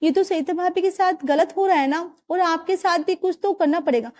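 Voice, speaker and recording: A woman's voice: very high voice, moderate pace, a little mellow, pitched above the performer's own voice. A woman voicing a caring young married daughter who speaks with concern and eagerness.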